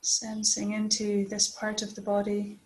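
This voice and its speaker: A woman leading a guided body scan meditation as a teacher, speaking gently and unhurriedly with long pauses. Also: mid-range voice, completely flat delivery, low-quality recording.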